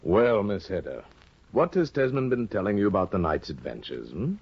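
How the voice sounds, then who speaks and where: An actor playing a sly, scheming older judge in a 1950 radio drama, insinuating and hesitant, on a dull old broadcast recording. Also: male voice, on the low side, sing-song, noisy background. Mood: genial. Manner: insinuating, hesitant with drawn-out ums. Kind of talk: 1950s radio drama acting.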